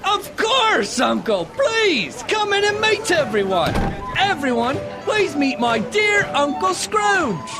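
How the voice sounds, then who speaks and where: A man's voice: very high-pitched, sing-song, slow pace, loud music behind the voice, pitched above the performer's own voice, full character voice. A man voicing a gracious, delighted nephew in an audio drama, effusive and polite. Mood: astonished, welcoming. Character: gracious, delighted adult nephew welcoming his uncle.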